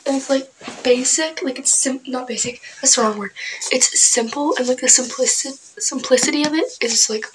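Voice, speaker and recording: A young woman chatting casually about her book haul, clearing her throat often as she loses her voice, her asides trailing off soft and breathy. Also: high-pitched, normal speed, slight background noise, rough recording. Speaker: book vlogger. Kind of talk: casual book haul chat with read-aloud summaries.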